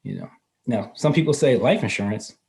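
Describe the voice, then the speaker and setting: A man in his fifties, a guest in a casual interview, explaining finance in a relaxed way and laughing easily. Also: mid-range voice, brisk, creaky. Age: 50s. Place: North Carolina.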